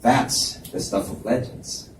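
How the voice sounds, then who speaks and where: A man's voice: takes it slowly, close to an everyday voice. A man reading aloud the part of a teasing, understated teenage boy in a story. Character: teasing teenage boy, injured athlete turned helpful friend. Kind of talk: live dramatic reading of a story. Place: indoor reading event at a library. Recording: echoey room, distant mic, faint noise.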